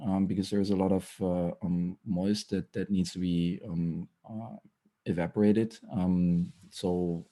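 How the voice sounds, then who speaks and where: A man with a very low, dark voice and a slight rasp presents to an online meetup. He talks haltingly, full of ums, restarts and throat clearing, recorded on a computer mic. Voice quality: raspy. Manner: hesitant, many fillers and restarts. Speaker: guest speaker. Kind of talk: tech meetup talk over video call.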